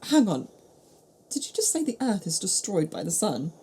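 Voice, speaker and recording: A woman's voice: medium pitch, sing-song, moderate pace, mellow, a little hiss or hum, natural voice. A young woman playing a curious, sceptical time-travelling companion in a fan-made audio drama, questioning and wry. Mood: amazed, wry.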